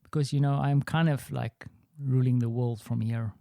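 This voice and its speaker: A man's voice: medium pitch, steady tone, normal speed, creaky, warm tone, no echo, clean studio sound. A man with an Afrikaans accent hosting a solo podcast, talking in a rambling, reflective, self-deprecating way from his small office. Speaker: podcast host.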